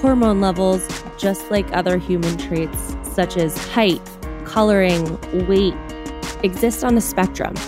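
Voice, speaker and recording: A woman's voice: mid-range voice, music in the background. A woman narrating a video news report in a scripted, earnest, explanatory way. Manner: earnest, measured explanatory delivery.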